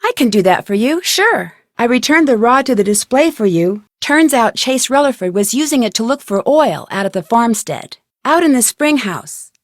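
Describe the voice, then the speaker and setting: An actress playing a polite, inquisitive young woman detective in a video game, speaking in a clear, friendly, conversational way. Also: fairly high pitch, very animated, normal speed, hardly any pauses, no room sound, studio quality. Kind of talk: video game dialogue acting, isolated conversational lines.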